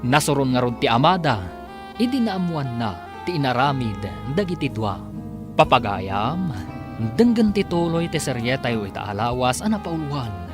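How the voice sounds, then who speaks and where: A man's voice: medium pitch, big pitch swings, moderate pace. A man working as announcer, reading scripted narration for a radio drama serial. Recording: music bed behind the voice.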